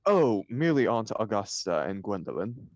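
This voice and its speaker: A man's voice: fairly low pitch, big pitch swings, moderate pace, a little mellow, no room sound, muffled recording. A man reading the part of a flippant, idle upper-class bachelor in a stage comedy, with an arch, mock-serious declamatory manner.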